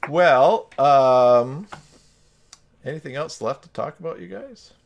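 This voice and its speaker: A man's voice: medium pitch, slow pace, creaky, a little hiss or hum. A man hosting a casual live stream over a video call, chatting and joking with guests.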